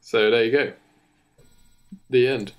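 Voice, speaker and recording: A man with an average-pitched voice co-hosts a comedy podcast. He spins an improvised story casually, halting as he makes it up. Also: steady tone, noisy background. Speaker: podcast co-host.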